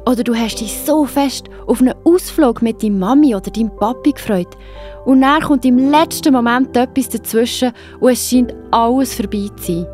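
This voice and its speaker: A woman's voice: on the high side, normal speed, darkish tone. A woman with a Swiss German accent narrating a Bible story for children. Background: faint music.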